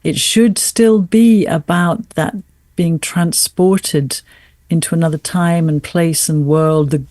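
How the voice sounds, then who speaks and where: A woman's voice: on the low side, steady tone, takes it slowly, darkish tone, no echo. A middle-aged woman speaking earnestly and articulately as an interview guest, with emphatic phrasing. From raised in South Asia, educated in Australia. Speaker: podcast guest, author and former English teacher. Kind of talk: conversational interview.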